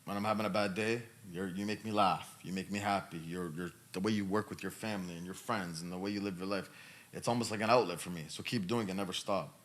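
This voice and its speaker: A man's voice: sits low, fairly even intonation, fast talker, fairly bright tone. A man in his thirties talking casually as a podcast host, emphatic and given to repeating his phrases. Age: 30s. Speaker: podcast host answering questions. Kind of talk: casual conversational talk.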